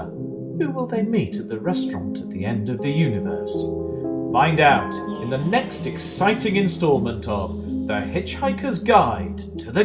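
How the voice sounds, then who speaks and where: A man playing the narrator of an amateur sci-fi serial, reading a scripted cliffhanger. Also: medium pitch. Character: dramatic storybook-style narrator of a sci-fi serial. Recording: loud plucked-string music behind.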